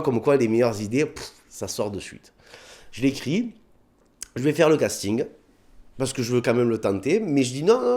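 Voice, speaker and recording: A man with a southern French accent, a comedian telling anecdotes in a casual interview, acting out bits of dialogue. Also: mid-range voice. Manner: animated anecdotes with put-on dialogue, many fillers.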